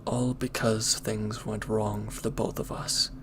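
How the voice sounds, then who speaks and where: A man acts out a brooding reformed villain in an intimate audio drama. His voice sits fairly low and stays very flat, with halting, earnest delivery. Some noise sits behind the voice. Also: vocal fry. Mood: regretful, earnest. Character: brooding former villain, now a conflicted, hesitant lover. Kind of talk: intimate audio roleplay acting.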